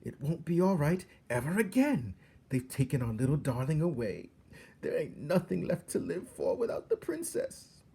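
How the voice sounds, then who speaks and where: A man voicing a ferocious, despairing woman cook in a storybook, shouting and wailing with a strained high voice. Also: wildly swooping pitch, normal speed, vocal fry, slightly rough, heavily acted. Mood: tearful despair. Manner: shouting, sobbing, dramatic.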